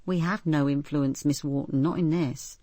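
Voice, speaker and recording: A British woman inspector tells of her case in a radio drama. Her voice is low and soft-toned with a husky grain, in a dry studio sound. She narrates with composure, like someone telling a story. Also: steady tone, moderate pace, no room sound. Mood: composed.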